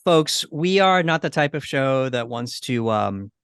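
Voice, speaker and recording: A man in his thirties or forties co-hosting a casual movie discussion, with measured, slightly hesitant phrasing. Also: mid-range voice, expressive, fairly bright tone, clean tone, no echo, studio quality. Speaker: podcast co-host.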